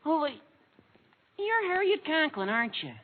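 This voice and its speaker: A performer playing an excitable, gawky teenage schoolboy with gee-whiz earnestness in a vintage radio comedy. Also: high voice, moderate pace. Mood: earnest, dazed. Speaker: actor in radio sitcom. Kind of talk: 1940s radio comedy acting. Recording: muffled old radio, faint noise.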